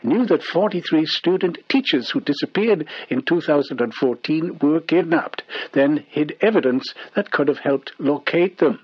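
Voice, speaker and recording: A man's voice: on the high side, animated, moderate pace, few pauses, breathy edge. A man reading a scripted news bulletin as a radio newsreader, over dull shortwave radio.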